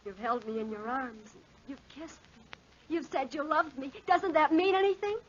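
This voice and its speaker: A woman's voice: high-pitched, normal speed, lightly acted. An actress playing a desperate, lovesick working-class young woman in a 1940s radio melodrama, pleading in slangy American speech. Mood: earnest pleading. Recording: muffled, band-limited 1940s radio recording.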